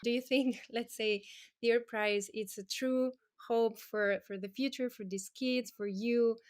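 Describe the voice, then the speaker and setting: A woman hosting an awards ceremony, introducing guests and asking loosely phrased, halting questions. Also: a little high, steady tone. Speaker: ceremony host. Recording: music playing underneath.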